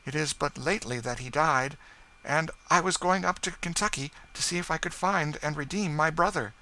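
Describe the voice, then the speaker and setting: A man's voice: fairly high pitch, moderate pace, pushed and bright, voice pushed up, rough recording. A man voicing a proud, emotional woman in an audiobook, speaking with eager, earnest urgency. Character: proud emotional refined woman, eager for news of lost brother. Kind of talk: audiobook character dialogue.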